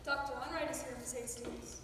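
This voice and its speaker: An actress playing a polite, obliging housekeeper in a stage play. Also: female voice, sits high, fairly even intonation, a little fast, fairly bright tone, slight background noise. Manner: formal, obliging. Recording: echoey hall from far off.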